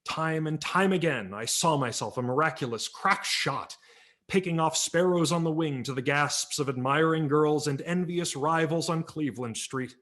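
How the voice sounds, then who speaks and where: A man narrating a humorous memoir story as a dramatic reading, wry and theatrical, letting his voice drop low at the ends of phrases. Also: moderate pace, fairly bright tone. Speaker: audiobook narrator. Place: home, virtual reading.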